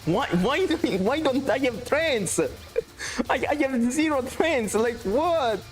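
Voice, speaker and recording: Excitable Italian-accented male game streamer. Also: extremely high pitch, takes it slowly, soft background music. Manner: excitable, swearing, reading chat aloud. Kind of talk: casual gameplay commentary.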